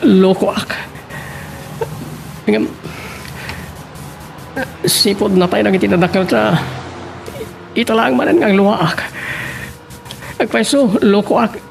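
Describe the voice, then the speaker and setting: A lovesick younger brother blames himself with emotion. His voice is strikingly high for a man, with a heavy creak. Faint music runs under the radio drama delivery. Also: full character voice. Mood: remorseful. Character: remorseful younger brother, self-reproaching and lovesick. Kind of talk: Ilocano radio drama acting.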